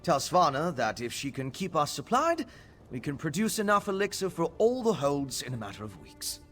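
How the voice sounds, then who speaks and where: A learned alchemist scholar in a fantasy video game, explaining his theories in a measured, earnest way. His voice rises and falls a great deal, mid-pitched, with faint music behind. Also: big pitch swings, a bit bright. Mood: determined. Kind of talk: studio video game voice acting.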